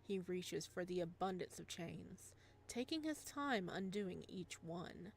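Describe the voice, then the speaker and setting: Ominous female-read visual novel storyteller: hushed, menacing, very slow and drawn-out thriller narration. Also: on the low side, creaky, a little hiss or hum, voice pushed down.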